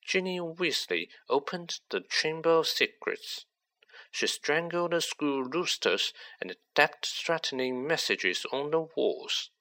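A man reading aloud as a cold, manipulative teenage sorcerer, soft-spoken and gloating. He speaks slowly, in a lifted, bright voice that swoops up and down. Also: fairly high pitch, expressive, voice pushed up, full character voice. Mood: gloating, silky.

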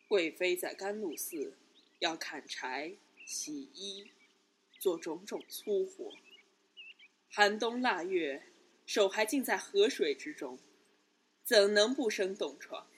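A woman playing a stern, plain-spoken Buddhist nun in a Chinese palace radio drama, measured, subdued and grave. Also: medium pitch, lively intonation, slight background noise. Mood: grave, reproachful.

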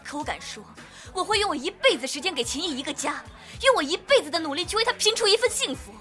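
Woman as earnest young lover, high voice, stubborn devotion, audio drama, clear music bed. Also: lively intonation, fast talker, fairly bright tone. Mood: defiant, emotional. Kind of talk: modern Chinese audio drama acting. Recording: music under the voice.

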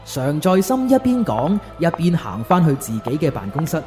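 Animated woman narrator over music. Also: sits low, moderate pace. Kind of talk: dramatic scripted narration.

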